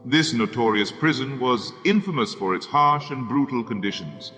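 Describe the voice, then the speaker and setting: A man's voice: medium pitch, lively intonation, moderate pace, sharp tone. A man playing a solemn former soldier who narrates as a storytelling host, earnest and oratorical in a dramatic scripted style. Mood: grave, earnest. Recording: long cavernous reverb with music behind. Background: music.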